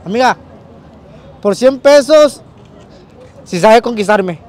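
A man's voice: extremely high pitch, lively intonation, slow pace, darkish tone, a faint crowd. A man doing a teasing street interview with a handheld mic on a city street. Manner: joking, teasing, laughs often.

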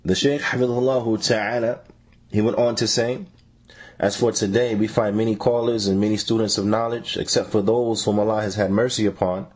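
A man reading out a translated religious lecture in a measured way as a translator. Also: lowish voice, flat delivery, a little mellow, breathy edge. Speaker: translator reading an Islamic scholar's answers.

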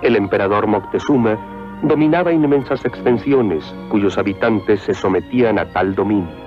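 A man narrating history from a script in a solemn, formal, measured way. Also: lowish voice. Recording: muffled lo-fi old film soundtrack. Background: loud music.

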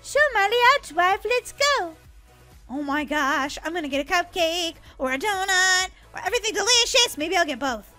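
A woman voicing excitable, dramatic young sisters in a gaming roleplay skit. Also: very high voice, normal speed, soft background music, full character voice. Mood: excited, bickering. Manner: dramatic, whiny, exclaiming. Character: excitable, dramatic girl gamer and her bickering sister.

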